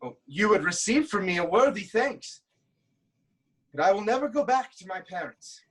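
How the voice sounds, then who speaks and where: A man's voice: high-pitched, big pitch swings, moderate pace, a bit bright, slightly rough, heavily acted. An actor playing a proud, troubled king haunted by prophecy, in an intense, declamatory reading of Greek tragedy. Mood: fearful.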